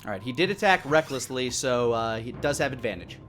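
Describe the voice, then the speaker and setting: Bright-voiced man running a tabletop game. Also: mid-range voice, expressive, fairly quick, a little hiss or hum. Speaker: game master and host of a tabletop game show. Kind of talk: live tabletop game narration and rulings. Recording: background music behind the voice. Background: music.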